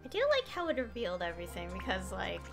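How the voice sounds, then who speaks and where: A woman's voice: mid-range voice, big pitch swings, fast talker, gravelly. A woman streaming a game, giving casual commentary in a hesitant, rambling way that often trails off. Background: game music.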